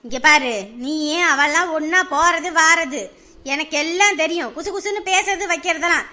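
A woman's voice: high voice, brisk, sharp tone. A woman playing a rural mother who grumbles as she herds goats and scolds her daughter. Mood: scolding. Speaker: mother of the family. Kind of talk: village family skit.

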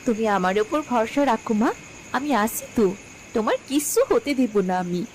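Woman as high-voiced, earnest young village daughter. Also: animated, moderate pace, a little hiss or hum, close to an everyday voice. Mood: earnest resolve. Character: poor, determined young village daughter, protective and proud. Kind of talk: Bengali cartoon fairy-tale acting.

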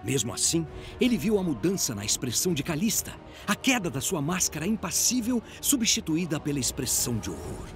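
A male narrator with a Brazilian accent reads a dramatic story. His slightly high voice moves expressively up and down, with music clearly behind it. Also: big pitch swings, a little fast, hardly any pauses, fairly bright tone.

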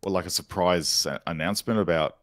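A man's voice: deep voice, fairly quick, no echo. A middle-aged male podcast co-host chatting casually, recorded close on a microphone in a small home room. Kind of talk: casual chat. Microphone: close boom-arm microphone, headset monitoring.

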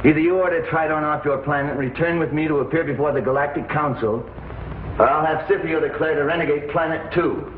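A man's voice: a little high, fast talker, a little hiss or hum, close to an everyday voice. A man playing a bold, defiant young hero in a 1950s television space opera, speaking firmly. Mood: stern. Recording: muffled, echoey 1950s TV soundtrack, faint music.

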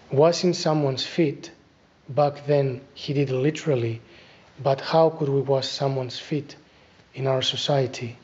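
A middle-aged man with a Greek accent giving a spiritual talk as an Orthodox abbot, deliberate and instructive, pausing between phrases. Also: medium pitch, darkish tone, highs cut off. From Greece. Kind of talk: spiritual talk, reflective preaching. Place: small church, indoors at a lectern.

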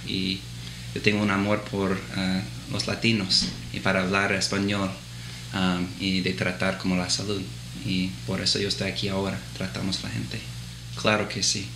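A man's voice: fairly low pitch, roomy sound, background noise. A man in his thirties, a physician assistant, speaking Spanish with an American accent learned in the Dominican Republic, hesitating and restarting often in a clinic office interview. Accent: American English speaker with Dominican-learned Spanish. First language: English. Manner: hesitant, many filler sounds and restarts. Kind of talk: interview answers.

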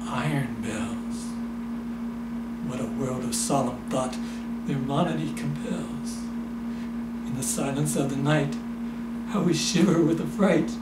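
A man in his sixties giving an intense, theatrical poetry recitation, drawing out repeated words. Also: medium pitch. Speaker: poetry performer. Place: small indoor room. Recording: echoey room, loud background noise.

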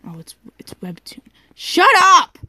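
A woman chatting casually while gaming, excitable and given to exclaiming in frustration. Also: very high-pitched, measured pace. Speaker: gaming video creator. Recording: noisy home recording with clicks.

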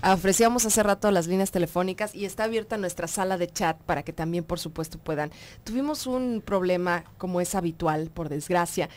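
Chatty Mexican woman hosting a radio show. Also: on the low side. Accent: Mexican Spanish. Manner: chatty, asking questions, greeting listeners. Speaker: radio host. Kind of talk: casual radio talk show. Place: radio studio. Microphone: studio microphone on a boom.

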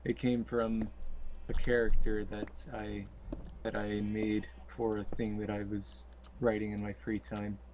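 A fellow player joins a game livestream, talking in a hesitant way with fillers and a dry, teasing humor. The voice is mid-pitched, with a faint hiss of noise behind it. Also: little pitch movement, unhurried, a little mellow, rough recording. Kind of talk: casual live gameplay chat.

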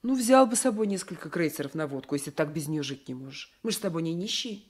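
An actress playing a scolding, practical young peasant wife in a 1980s Soviet radio drama, reproachful and sighing, on a noisy old recording. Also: female voice, deep voice, expressive, fast talker, breathy edge.

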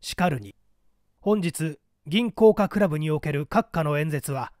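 Animated, high-pitched male audiobook narrator. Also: no room sound, clean studio sound. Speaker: audiobook narrator, stage actor. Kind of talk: dramatic scripted narration of literary fiction.

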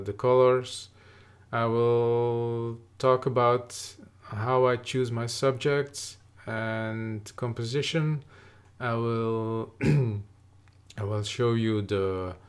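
A man in his forties from Flanders with a Flemish Dutch accent, teaching watercolor in halting English full of "uh" fillers, speaking into a webcam in an attic studio. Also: on the low side, clear, resonant tone. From Belgium (Flanders). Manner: halting, many "uh" fillers, searching for words. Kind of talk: hesitant tutorial narration. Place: attic studio with wooden beams.

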